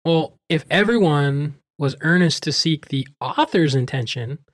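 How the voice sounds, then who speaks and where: A man's voice: fairly high pitch, lively intonation, measured pace, fairly bright tone. A man hosting a podcast in a conversational way. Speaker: podcast host.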